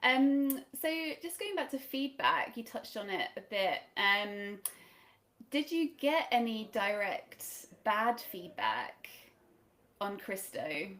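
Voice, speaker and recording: A woman hosting a casual interview, friendly and relaxed, mostly giving brief affirmations and asking questions. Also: on the high side, takes it slowly. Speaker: interviewer, host.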